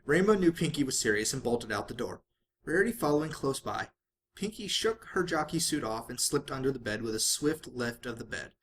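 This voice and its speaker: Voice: medium pitch, expressive, fairly quick, slight background noise. An amateur reader narrating fan fiction expressively, sometimes stumbling and correcting the wording along the way. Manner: stumbles and self-corrects while reading.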